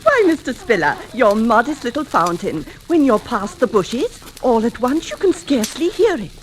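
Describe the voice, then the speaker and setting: Animated, genteel lady. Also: on the high side, normal speed. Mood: polite, tactful. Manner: polite, soothing, genteel. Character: gracious, tactful society lady, a neighbour. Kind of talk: 1940s radio acting. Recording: slightly noisy old-time radio recording.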